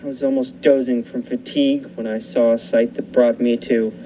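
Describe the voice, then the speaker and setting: A man playing a haunted lighthouse keeper who narrates a radio thriller with dramatic, deliberate pauses. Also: mid-range voice, flat delivery, close to an everyday voice. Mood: grim, tense. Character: haunted lighthouse keeper recounting his ordeal. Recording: muffled lo-fi recording with faint noise.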